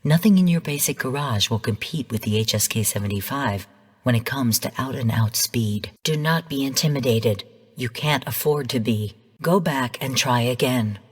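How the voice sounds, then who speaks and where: A woman voicing a calm, calculating artificial intelligence in a video game, speaking formally and with measured, cool command. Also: very deep voice, breathy edge, big-room sound, heavily acted. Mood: stern. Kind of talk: scripted video game voice lines.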